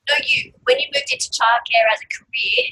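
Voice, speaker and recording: A woman interviewing a guest, asking questions in loose restarts with a breathy, animated manner. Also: very high-pitched, fairly quick, low-quality recording. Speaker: interviewer, host.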